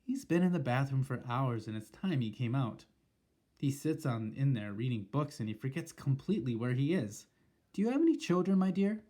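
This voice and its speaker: A man voicing an elderly grandmother with a high, calm and composed voice. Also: moderate pace. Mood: falsely polite. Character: cool, kindly old grandmother, high-voiced.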